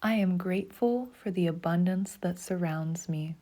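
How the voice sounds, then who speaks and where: A woman guides a meditation in a low, mellow voice. She speaks slowly and soothingly, often sinking into a breathy half-whisper. Her tone stays dark and warm throughout. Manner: slow, soothing, even pacing.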